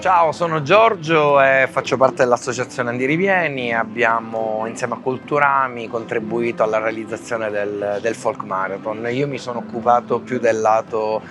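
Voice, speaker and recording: A man's voice: mid-range voice, a little fast, few pauses, over music. A man from Italy who helps run a festival, methodically explaining his technical tasks. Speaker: festival organizer. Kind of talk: festival interview.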